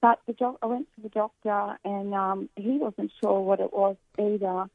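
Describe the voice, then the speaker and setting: A woman with an Australian accent and a husky voice, calling into a radio show and speaking haltingly. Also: mid-range voice, fairly even intonation, no echo. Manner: hesitant, halting. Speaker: caller. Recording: phone line.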